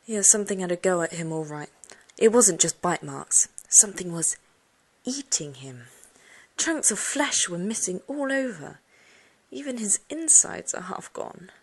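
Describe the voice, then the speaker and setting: A woman's voice: lowish voice, lively intonation, measured pace, breathy edge, heavily acted. A woman voicing a shaken, mournful boy in a storybook, speaking bluntly and emphatically. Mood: shaken, grim. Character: grieving boy, shaken best friend of the narrator.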